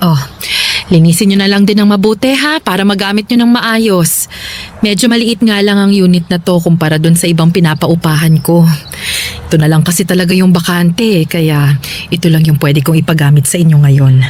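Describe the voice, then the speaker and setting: A woman's voice: on the low side, fairly quick, darkish tone, close to an everyday voice. An actress playing a kindly middle-aged landlady aunt in a Filipino radio drama, with a reassuring, motherly manner. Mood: warm, accommodating. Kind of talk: Tagalog radio drama acting.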